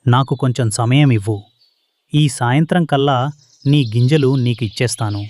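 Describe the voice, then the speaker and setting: A man's voice: medium pitch, normal speed, darkish tone, natural voice. A man voicing a put-upon, earnest pigeon in a children's cartoon, pleading in manner. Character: kind, put-upon male pigeon being bullied.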